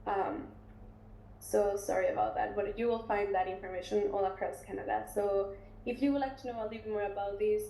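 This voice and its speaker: A woman working as an international recruitment officer, presenting a webinar and taking questions in a relaxed, chatty way with many fillers and restarts. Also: mid-range voice, creaky. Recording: roomy webinar audio, faint noise.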